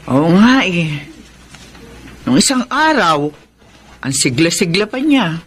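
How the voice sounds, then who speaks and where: High, lively, worried younger brother. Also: male voice, slow, heavily acted. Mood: concerned. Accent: Filipino. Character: sympathetic, worried younger sibling. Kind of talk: Filipino radio drama acting.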